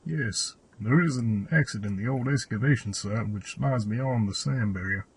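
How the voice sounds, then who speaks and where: A man's voice: mid-range voice, moderate pace, slightly rough. A man playing a cryptic old cave hermit and mechanic in an audio drama, with a solemn, old-fashioned storyteller's delivery. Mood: gruff, practical. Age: older. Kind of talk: fan audio drama acting.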